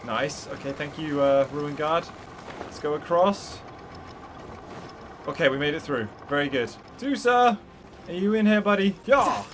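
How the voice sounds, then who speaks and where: A man's voice: on the high side, animated, a little slow, pauses often, background noise. A man giving casual, chatty and enthusiastic gameplay commentary as the host of a gaming video. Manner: enthusiastic, chatty reactions to the game. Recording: game music behind the voice. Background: video game music.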